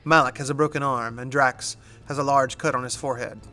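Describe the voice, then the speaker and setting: A man's voice: mid-range voice, fairly even intonation, brisk, pushed and bright. A man reading scripted narration as the narrator of an audio drama.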